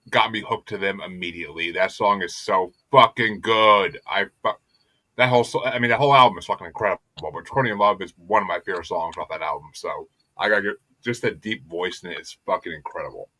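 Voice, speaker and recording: A male podcast host with a bright, forceful voice recorded dry and close. He talks casually and emphatically, swearing often and circling back over his own phrases. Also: lowish voice, no echo. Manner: profane, emphatic, repetitive. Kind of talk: casual opinionated music podcast chat.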